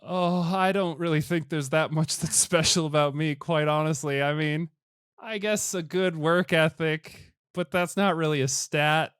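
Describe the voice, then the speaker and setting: A man's voice: on the high side, fairly even intonation, a little slow, fairly bright tone, clean tone, no echo, studio quality, voice pushed up. A man voicing a timid young male hero who doubts himself and talks anxiously. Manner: anxious, unsure, pleading.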